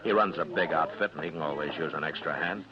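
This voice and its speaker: Very deep-voiced Western marshal. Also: male voice, quick pace, crowd noise. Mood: concerned, fatherly. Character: steady, weary adult US marshal in a Western town. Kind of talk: 1950s radio Western acting with first-person narration. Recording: dull vintage radio recording with music.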